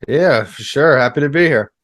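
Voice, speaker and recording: A man's voice: medium pitch, animated, moderate pace, a bit bright, dry recording. Male, late 20s, sports journalist guest, chatty and casual, mid-range voice, very dry sound.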